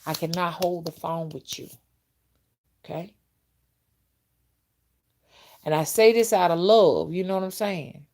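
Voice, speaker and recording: A woman's voice: fairly low pitch, normal speed, a little mellow. A woman, chatting casually as a livestream host and talking straight to her viewers, often checking in with them. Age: early fifties. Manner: conversational, addresses viewers directly, often checks in with "okay" and "you know". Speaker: livestream host and content creator.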